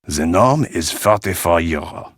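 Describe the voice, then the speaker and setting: An actor playing a polite, insistent Parisian market vendor in a video game, speaking formally with a French accent. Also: male voice, sits low, expressive, unhurried, slightly rough, no room sound, clean studio sound, exaggerated voice. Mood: persuasive, polite. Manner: courteous, formal salesman's patter. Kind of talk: video game character dialogue.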